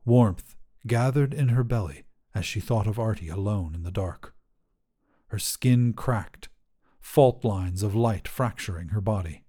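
A man's voice: on the low side, unhurried, dark tone, breathy edge. A man narrating scripted short fiction as the host of a story podcast.

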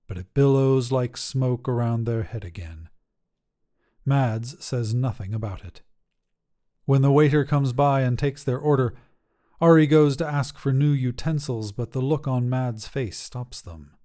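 A male narrator reads scripted short fiction. His mid-pitched voice is dark and relaxed, and he takes his time. The delivery is dramatic, made for an audio story. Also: a little slow.

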